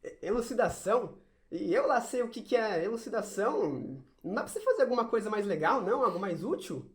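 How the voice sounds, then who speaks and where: A woman's voice: mid-range voice, lively intonation, brisk, lightly acted. A woman acting as a sulky, easily flustered schoolboy in an amateur audio drama, stammering with exasperation. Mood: scornful. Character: whiny, sulky schoolboy who hates science, easily flustered.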